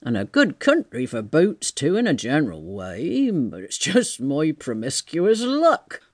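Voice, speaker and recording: A man's voice: fairly high pitch, huge pitch swings, unhurried, no echo, studio quality, heavily acted. A man voicing a scruffy, bewildered tramp in an audiobook, muttering and exclaiming in slang. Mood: grumbling. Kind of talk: audiobook character voice.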